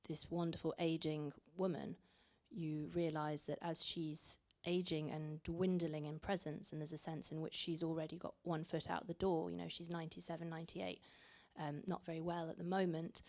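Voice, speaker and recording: A woman's voice: deep voice, level tone, normal speed, few pauses, a little mellow. A young woman raised in Italy, an author speaking reflectively at a library panel, often repeating words as she thinks. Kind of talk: panel talk. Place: library event room.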